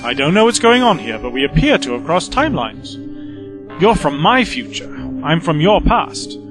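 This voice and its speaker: A man plays a cautious time-travelling investigator in an audio drama. He is crisp and authoritative, highish and lively in pitch, with clear music under him. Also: normal speed. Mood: brisk, cautious. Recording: music bed under the dialogue.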